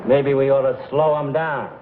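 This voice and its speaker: Through an echoey, narrow old broadcast recording comes a menacing comedy hoodlum. He taunts his victim at a slow, deliberate pace, savoring every threat. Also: on the high side. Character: tough menacing hoodlum bullying a lunch counter man. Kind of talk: 1960s broadcast comedy sketch acting. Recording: echoey, phone-like old broadcast, noisy crowd.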